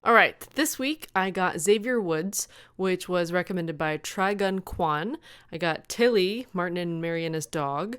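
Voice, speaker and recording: A woman narrates a story as a show host. Her voice is on the low side, smooth and clear, recorded dry and close. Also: no echo. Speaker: co-host and reader of fan fiction.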